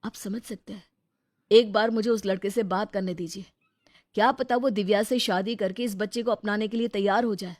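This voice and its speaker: A woman's voice: steady tone, a little fast, a little mellow, no room sound, close to an everyday voice. A woman voicing a young adult elder brother in a storybook drama, speaking firmly and earnestly. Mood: calm. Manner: firm, earnest, mostly low-key. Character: young adult male elder brother, protective and level-headed. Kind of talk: dramatized Hindi storybook dialogue.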